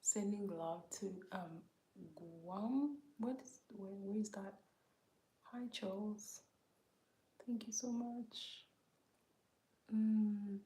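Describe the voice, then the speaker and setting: A woman from Uganda hosting a casual livestream, speaking softly and often near a whisper as she greets viewers by name and reads their comments. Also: medium pitch, drawn-out words, pauses often, roomy sound, low-quality recording. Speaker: livestream host.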